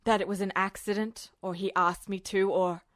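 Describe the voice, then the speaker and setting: A woman's voice: medium pitch, fairly even intonation, normal speed, fairly bright tone, no echo, clean studio sound, pitched below the performer's own voice, close to an everyday voice. A woman voicing a shaken, guilt-ridden adult man, a colonel, who stammers in disbelief. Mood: guilty, halting. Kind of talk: podfic reading of fan fiction.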